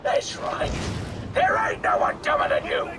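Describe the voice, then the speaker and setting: An actor playing a cruel, bullying British gangster boss in a video game, mocking, menacing and theatrical. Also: male voice, a little slow, raised voice, far from the mic, very noisy, close to an everyday voice. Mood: menacing, sarcastic.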